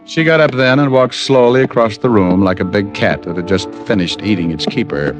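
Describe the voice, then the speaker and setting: Wisecracking private eye, played by an actor, low-ish voice, dry delivery, old radio recording, faint music. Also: male voice, a little fast, natural voice. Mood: narrating. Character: wisecracking male private detective narrating. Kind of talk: 1950s radio drama acting and narration.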